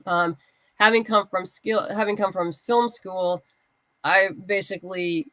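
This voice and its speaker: A woman's voice: medium pitch, a little slow, a bit bright, no echo, poor audio. A woman writer chatting as an interview guest, candid and conversational, explaining things in loose fragments. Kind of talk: casual interview chat.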